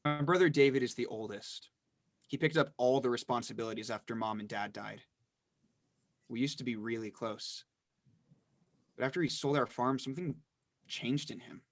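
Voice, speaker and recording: An actor playing a brooding, detached younger brother giving a dramatic monologue in a play reading. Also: male voice, medium pitch, normal speed, pauses often, soft background music, close to an everyday voice. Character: brooding younger brother narrating his broken family.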